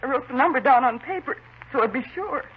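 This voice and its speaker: An actress playing a distraught, unstable housewife, fretful and fixated, in a 1950s radio drama. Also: female voice, high voice, expressive, fast. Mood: fretful, insistent. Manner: fretful, fixated, repeats herself. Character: distraught, mentally unstable housewife confessing to killing her husband. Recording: muffled, noisy 1950s radio recording.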